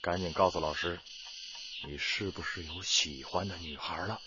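A man's voice: low-pitched, steady tone, moderate pace, pushed and bright, slight background noise. An actor playing a brusque but fond young male tutor in a radio drama, teasing in colloquial, exclamatory speech. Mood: teasing, amused. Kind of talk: Chinese radio drama acting. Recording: dull lo-fi radio drama with music.

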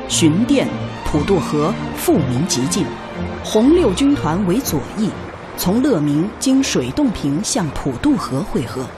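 A woman giving formal, declamatory scripted narration for a historical drama. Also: medium pitch. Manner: solemn, declamatory. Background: background music.

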